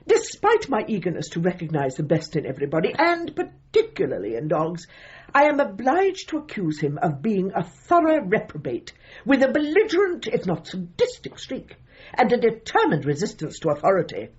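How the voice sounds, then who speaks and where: An actress playing an opinionated older British dog trainer, a widow who speaks formally and precisely in a radio comedy drama. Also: female voice, mid-range voice, wildly swooping pitch, normal speed, darkish tone, breathy edge, highs cut off, exaggerated voice. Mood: firm, formal. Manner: formal, emphatic, precise, old-fashioned phrasing.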